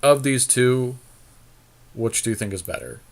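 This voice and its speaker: A man co-hosting a podcast, chatting casually and thinking aloud in halting, repetitive phrases as he works through card choices. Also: medium pitch, big pitch swings, creaky. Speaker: podcast co-host. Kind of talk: casual chat while building a card game deck.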